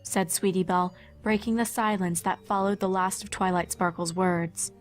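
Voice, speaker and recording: A woman's voice: on the low side, fairly even intonation, moderate pace. Woman narrating a story over faint music. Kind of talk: scripted fan fiction narration.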